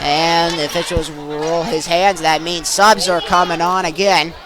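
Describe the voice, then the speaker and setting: A young man calling live volleyball play-by-play, excitable and projecting over the action. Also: on the high side, a little slow, poor audio. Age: young adult. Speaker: play-by-play sports announcer. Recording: crowd and gym noise behind.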